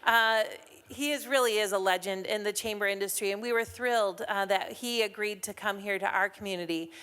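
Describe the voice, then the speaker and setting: A woman's voice: mid-range voice, fairly quick, fairly bright tone, clean tone. An upbeat woman emcees a morning business breakfast in a hall, running through announcements in a loose, chatty way with many fillers. Her voice sits in a plain middle range and comes through a podium mic. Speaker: chamber of commerce event host. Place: event hall with a live audience.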